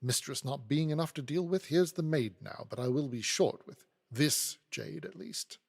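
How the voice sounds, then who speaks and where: A man's voice: a little high, animated, normal speed, creaky, darkish tone, in a large hall. A man playing a dry, domineering older lawyer who speaks brusquely and with condescension. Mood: grumbling.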